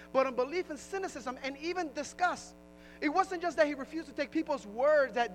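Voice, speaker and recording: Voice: high-pitched, fairly bright tone, a little hiss or hum, rough recording. A pastor from Puerto Rico with a New Jersey accent, preaching a sermon in a conversational way, often restarting phrases and rising into emphasis.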